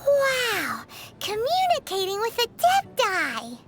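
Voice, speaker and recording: A woman voicing a tiny, excitable floating fairy companion in a video game, with a squeaky childlike voice and an exclaiming manner. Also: slow pace, over music, heavily acted. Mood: amazed. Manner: childlike, exclaiming, speaks of herself in third person.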